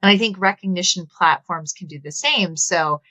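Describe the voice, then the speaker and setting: Low-voiced Texan woman explaining. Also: moderate pace, bright, forceful tone, dry recording, low-quality recording. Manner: thoughtful, explanatory, with filler words. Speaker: guest. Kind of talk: conversational interview.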